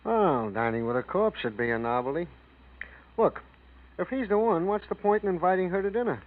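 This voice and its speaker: Wisecracking police partner, tinny sound. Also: male voice, high voice, lively intonation, fairly quick. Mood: wry, curious. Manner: casual, wisecracking. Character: wry, inquisitive male police partner. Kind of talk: 1940s radio acting. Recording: tinny old radio recording.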